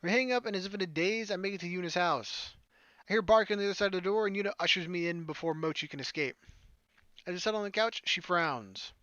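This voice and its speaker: A man's voice: sits high, fast, bright, forceful tone, no room sound, lo-fi sound. A man hosting a gaming video, reading a visual novel aloud expressively and dropping in casual asides.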